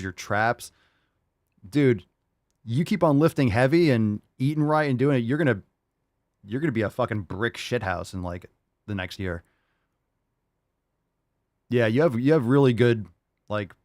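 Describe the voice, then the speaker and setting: A man with an ordinary, mid-range voice hosts a fitness podcast, hyping up his listeners with plenty of swearing. The sound is dry and close, with no room echo. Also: mid-range voice. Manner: hyped, profane, encouraging. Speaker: podcast host. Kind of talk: casual podcast chat reading listener posts.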